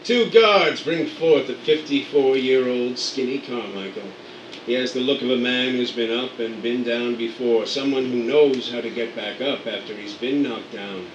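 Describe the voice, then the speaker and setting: Male narrator for a radio play, wry and dramatic, mid-pitched. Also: normal speed, roomy sound, far from the mic, highs cut off, background noise. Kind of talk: scripted narration reading scene directions.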